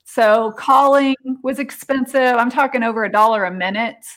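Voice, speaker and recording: A woman's voice: fairly high pitch, normal speed, a bit bright. A middle-aged woman chatting casually as an interview guest, telling personal stories. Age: 40s or 50s.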